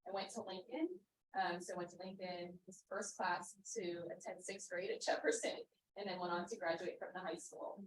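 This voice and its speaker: A woman's voice: fairly low pitch, moderate pace. A woman in her thirties giving an earnest public comment at a board meeting, reading from notes with many ums. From Pennsylvania, US. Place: meeting room. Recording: echoey room, distant mic, faint hiss.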